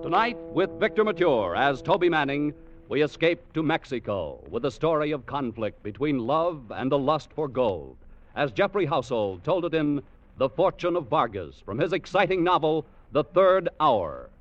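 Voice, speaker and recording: A man's voice: on the high side, very animated, moderate pace. A man announcing in the formal, dramatic, declamatory style of mid-century network radio. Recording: dull vintage radio recording, faint music.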